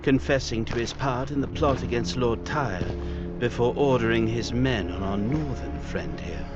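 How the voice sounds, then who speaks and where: An actor playing a sly royal spymaster in a fantasy game, courtly and delicately insinuating. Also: male voice, mid-range voice, moderate pace, close to an everyday voice. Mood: sly, deferential. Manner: smooth-talking, delicately insinuating. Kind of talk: dramatic fantasy game acting. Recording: muffled lo-fi, music behind.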